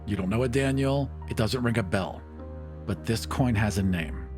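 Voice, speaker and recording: A man narrating a tabletop game as game master, with emphatic, vivid and deliberate storytelling. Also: fairly low pitch. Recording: music bed behind the voice.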